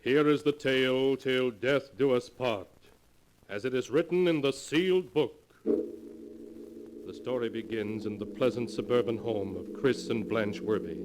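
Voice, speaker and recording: A man narrating a 1940s radio horror show with solemn, theatrical, drawn-out delivery, as a teller of dark tales. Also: mid-range voice, close to an everyday voice. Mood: grave storytelling. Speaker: announcer narrating a horror anthology. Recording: noisy 1940s radio, long cavernous reverb.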